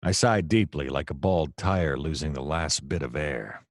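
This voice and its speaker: An actor playing a seedy, self-deluded private detective, narrating a comic noir radio play in a deadpan, melodramatic way. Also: male voice, extremely low pitch, normal speed, dry recording, studio quality. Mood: wry, world-weary. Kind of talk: hardboiled noir first-person narration, comedic radio play.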